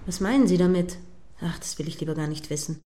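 A woman's voice: on the low side, fast, warm tone, slight background noise, natural voice. A woman playing a prim, indignant news commentator in a radio play, judgmentally describing events step by step as they happen.

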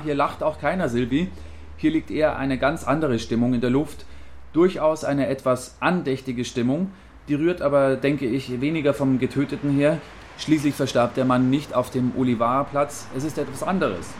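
A man playing a stubborn, musing radio field reporter, hesitant and measured, in a satirical radio play. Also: medium pitch, slight background noise, natural voice. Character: musing male field reporter, secretly admiring, stubbornly defensive.

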